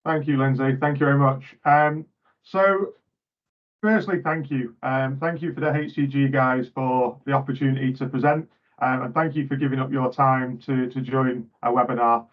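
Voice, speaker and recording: A man's voice: medium pitch, steady tone, moderate pace, highs cut off. A man presenting as a guest speaker in a webinar, upbeat and partly scripted, over a video call. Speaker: guest presenter from a tech company.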